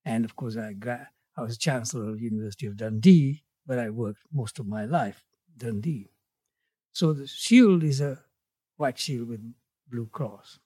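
A man in his eighties from Tanzania, a guest in an interview, speaking in a measured way with his voice falling away at the ends of phrases. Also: medium pitch, warm tone, husky, no room sound. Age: 80s. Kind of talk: reflective interview conversation.

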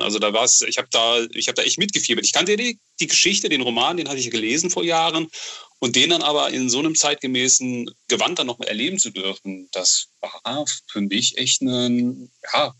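A man with a clearly bright voice at an average pitch speaks as a guest in a casual interview. He is enthusiastic and halting, circling back over the beginnings of his phrases while he looks for the right word. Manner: excitable, halting, repeats phrase starts while searching for words. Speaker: podcast interview guest, novelist.

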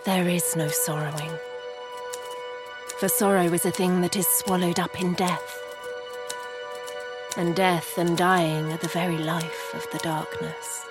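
An actress playing a solemn, ominous orator of darkness, speaking in a measured, incantatory way in a gothic audio drama. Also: female voice, lowish voice, little pitch movement, natural voice. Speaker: actor in scripted audio drama. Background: music.

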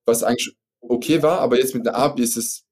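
Luxembourgish man with a mellow voice. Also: medium pitch, fairly even intonation. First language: French. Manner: halting, many fillers and restarts. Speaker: startup founder guest. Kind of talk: casual podcast interview.

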